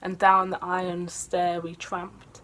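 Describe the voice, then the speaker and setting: A woman recites verse in a lowish voice. Her intonation stays very even and flat through the lines. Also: slow pace. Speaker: poetry reader. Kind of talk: poetry recitation.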